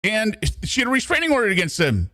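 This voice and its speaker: A man's voice: high-pitched, huge pitch swings, fast talker, bright, forceful tone, no echo. A man giving casual reaction commentary in an exasperated, mocking way, often paraphrasing other people's words. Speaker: true crime commentator. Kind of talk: casual reaction commentary over a played phone call.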